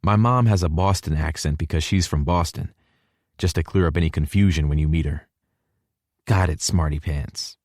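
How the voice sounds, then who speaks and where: A man's voice: rumbling low, normal speed, darkish tone, dry recording, studio quality. A man voicing a blunt but caring young college man who teases his girlfriend, in an audiobook. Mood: reassuring.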